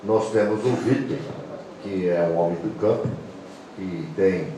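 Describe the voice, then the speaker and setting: A man with an English accent, a native English speaker, giving an informal explanatory talk as a guest presenter, with halting phrasing. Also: low-pitched. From England. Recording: echoey room, distant mic, clear noise.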